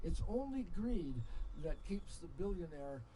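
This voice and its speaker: An older American man telling stories live, rambling and halting, with impassioned digressions and salty language. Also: on the high side, sing-song, warm tone. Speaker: storyteller and speaker at a festival. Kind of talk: rambling live storytelling to an audience. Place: live storytelling session at a festival. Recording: noisy live event ambience.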